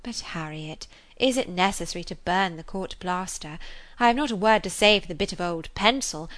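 A woman voicing a composed, judicious, gently superior young lady in a storybook, speaking in a measured, admonishing manner. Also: fairly low pitch, lightly acted. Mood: gently amused. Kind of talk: dramatised audiobook character reading.

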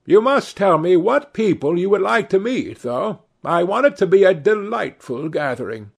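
A man's voice: high voice, big pitch swings, moderate pace, gravelly, husky, voice pushed up, heavily acted. A man voicing a fussy old society hostess. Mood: gushing. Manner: theatrical, fussy, exclamatory. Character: older woman, a chatty society hostess.

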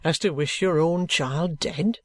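A man reading aloud as a middle-aged police detective who asks brisk, probing questions in a firm voice. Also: a little high, fairly bright tone, no echo, studio quality. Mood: stern.

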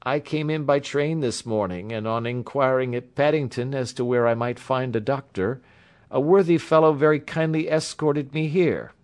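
A man voicing a polite, agitated young engineer in his twenties, breathless and excitable, in an audiobook story. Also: mid-range voice, normal speed, voice pushed up, lightly acted. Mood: apologetic, strained. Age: mid-twenties. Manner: courteous, excitable, shaky, breathless. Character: pale, agitated young male engineer, wounded, hysterical, polite.

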